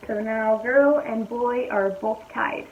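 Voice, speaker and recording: A young woman talking casually to her viewers from home, her voice slightly raspy and hoarse, chatty and easygoing as she thinks aloud. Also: on the high side, slow pace, lo-fi sound, slight background noise. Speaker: vlogger. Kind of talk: casual chat to camera. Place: at home, indoors.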